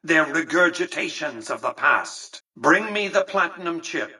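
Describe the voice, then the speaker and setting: An actor voicing an imperious, calculating tycoon in a video game, speaking in a pompous, articulate, measured and condescending way with a husky voice. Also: male voice, on the high side, expressive, bright, forceful tone, recorded from a distance, full character voice. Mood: grandiose, disdainful. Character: imperious, calculating, aristocratic male casino tycoon and mastermind. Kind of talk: theatrical video game character dialogue.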